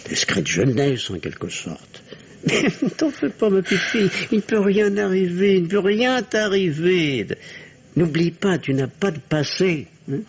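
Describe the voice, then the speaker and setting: A man's voice: high-pitched, very animated, a little slow, husky, heavily acted. An actor playing an anxious, evasive middle-aged family doctor and father in an old-style radio drama, hesitant and theatrical. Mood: agitated, reassuring. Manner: hesitant, theatrical, repeats phrases reassuringly. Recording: muffled old radio recording.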